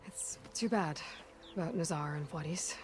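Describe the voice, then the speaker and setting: An actress playing a determined, inquisitive young warrior explorer in a video game, speaking directly and seriously with probing questions. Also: female voice, sits low, moderate pace, a little hiss or hum, close to an everyday voice. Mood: somber, resolute. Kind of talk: video game dialogue acting.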